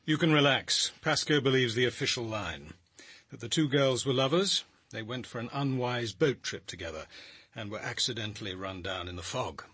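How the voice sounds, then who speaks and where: An English actor playing a measured, sceptical police detective in a radio drama, speaking in a dry, understated, quietly authoritative way. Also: male voice, mid-range voice, husky, no room sound. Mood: matter-of-fact. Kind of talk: radio drama dialogue and first-person narration.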